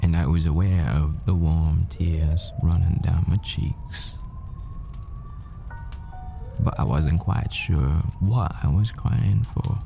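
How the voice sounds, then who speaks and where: A man playing a tender, haunted professor boyfriend in an intimate audio roleplay, speaking in halting, wistful, confessional phrases. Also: low voice, gravelly, warm tone, heavily acted. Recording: music behind the voice. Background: music.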